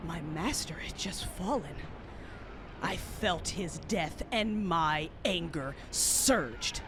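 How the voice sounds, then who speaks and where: A woman's voice: on the low side, animated, slow, a little hiss or hum. A woman playing an anguished, rage-consumed Jedi warrior, narrating an audio drama in the first person with intense emotion. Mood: urgent, grieving. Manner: intense, emotive, shifting from hushed to roaring.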